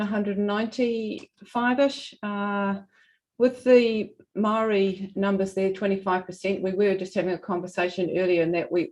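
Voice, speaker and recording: A woman with a New Zealand accent giving a semi-formal presentation to a hearing, speaking haltingly and often correcting herself. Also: mid-range voice, darkish tone, rough recording. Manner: halting, hesitant delivery with frequent self-corrections. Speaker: regional council representative presenting.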